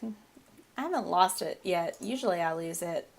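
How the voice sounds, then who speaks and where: A woman's voice: fairly low pitch, lively intonation, measured pace, gravelly. A woman in her thirties with a Southern US accent, chatting casually over her gameplay, her voice a little off from a lingering head cold and often breaking into giggles. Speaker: gameplay video host.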